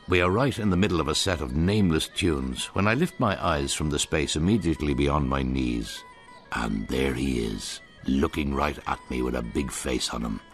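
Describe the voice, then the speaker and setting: A man narrating in the first person as a piper in a radio drama, in a wry, dry storytelling manner. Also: rumbling low.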